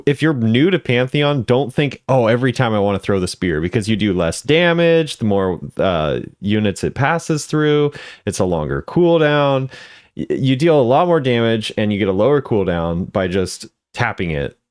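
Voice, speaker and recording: A man's voice: medium pitch, big pitch swings, moderate pace, a little mellow, no room sound, studio quality. A man hosting a gaming video, giving casual commentary with informal, musing reactions.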